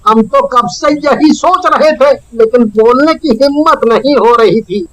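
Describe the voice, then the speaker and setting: A woman voicing a simple-minded villager in a comic animated horror story, with exaggerated delivery. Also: high voice, unhurried, dry recording, low-quality recording. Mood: flattering.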